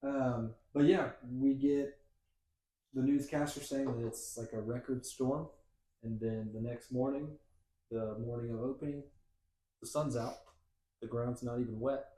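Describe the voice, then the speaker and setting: A man's voice: medium pitch, steady tone, measured pace, pauses often, warm tone, reverberant, a little hiss or hum, poor audio. A man hosting a casual podcast, walking through a film's plot with playful asides. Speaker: podcast host. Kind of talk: casual movie recap chat.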